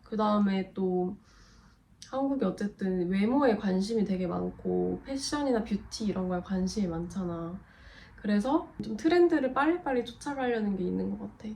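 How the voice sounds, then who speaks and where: A young Korean woman with a cold co-hosts a casual chat and explains her points at easy length. Her voice sits at an ordinary middle pitch. A faint hiss runs under the recording. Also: animated, moderate pace, vocal fry, mellow, rough recording. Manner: chatty, explaining. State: has a cold. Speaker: co-host of a couple channel.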